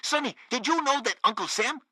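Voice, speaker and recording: Gleefully loyal state radio host: bright, forceful, swooping delivery, pompous and sycophantic, game news satire. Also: male voice, extremely high pitch, expressive, fairly quick, slightly rough, dry recording, exaggerated voice. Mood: gleefully proud. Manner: grandiose, sycophantic.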